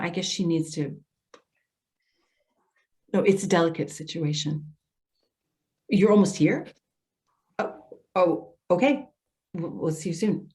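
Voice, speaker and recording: A woman's voice: fairly low pitch, mellow, natural voice. A woman playing a patient, concerned social worker in a readers theater drama, speaking in an earnest, coaxing way. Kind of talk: readers theater stage acting.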